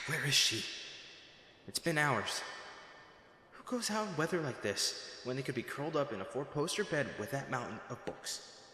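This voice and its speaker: A man acts out a noble lady's bodyguard in a fantasy audio roleplay. He is a man with a medium-pitched voice, blunt and exasperated. His delivery is emotional and protective, swinging between scolding and anxious care. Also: big pitch swings, moderate pace, pauses often, bright, forceful tone, slight background noise. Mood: anxious, muttering. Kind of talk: dramatic fantasy audio roleplay addressed to the listener.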